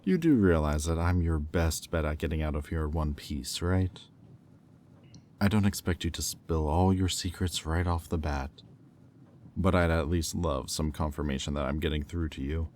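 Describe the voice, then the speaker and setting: A man acts out a predatory male demon for a roleplay audio drama, with an extremely low, dark voice. He toys with his captive in slow, silky taunts, smug and in full control. There is faint noise behind him. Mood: smug, amused. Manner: slow, teasing, silky taunting with deliberate pauses.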